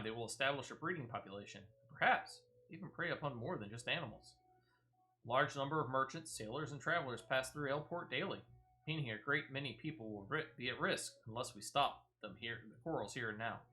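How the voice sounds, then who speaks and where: A man's voice: mid-range voice, pushed and bright. A man voicing a stern, dutiful guard officer from a fantasy video game, with formal and earnest phrasing. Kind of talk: video game dialogue read aloud.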